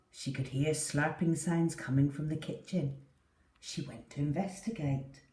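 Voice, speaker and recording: A woman's voice: low-pitched, darkish tone. A woman reading a children's story aloud with theatrical, dramatic delivery. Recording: echoey room, distant from the mic.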